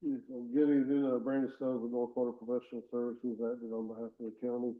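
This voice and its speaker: A man presents to a county board in a nasal, congested voice. His delivery stays flat and even as he reads legal text aloud. The audio is narrow and phone-like. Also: medium pitch, fast talker. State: nasal from a head cold. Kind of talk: formal presentation at public meeting. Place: county commission meeting room.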